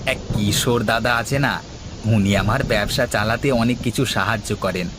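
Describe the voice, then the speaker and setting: A man's voice: lowish voice, moderate pace, very noisy, lightly acted. A man voicing a friendly village trader in cartoon dialogue. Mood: easygoing, fond. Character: village men, friendly married trader and his mates.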